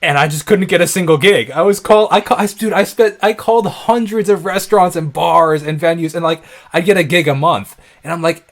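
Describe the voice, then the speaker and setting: Young guy from new york hosting an interview, talks super fast, lots of "like" and "you know". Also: fairly high pitch. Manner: energetic, animated storytelling with many fillers. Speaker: interview host. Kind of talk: casual interview chat.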